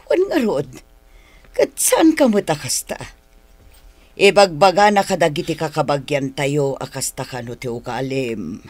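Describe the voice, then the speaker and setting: A pious, inquisitive older auntie in a radio drama. She speaks with an Ilocano accent and lively swings of pitch, motherly and probing, in a dry studio sound. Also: measured pace, pauses often, no echo. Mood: concerned, probing.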